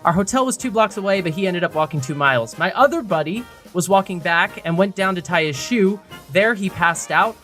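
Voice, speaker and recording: A man's voice: sits high, expressive, brisk, hardly any pauses, sharp tone, faint music behind. A man co-hosting a podcast in a casual, informal, conversational way. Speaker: podcast co-host.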